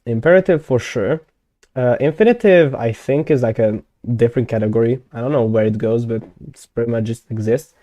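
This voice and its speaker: A young man whose first language is Hungarian chats on a live stream in a mellow, warm tone. The recording is dry and close, with no room sound. He thinks aloud, trails off with fillers and corrects himself often. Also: mid-range voice, fairly quick, dry recording. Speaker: conlang streamer. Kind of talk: casual live-stream chat.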